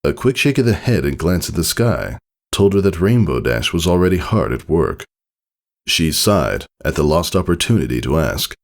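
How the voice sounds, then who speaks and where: A man reading a scripted story aloud as an expressive narrator. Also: deep voice, moderate pace. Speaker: fan fiction reader.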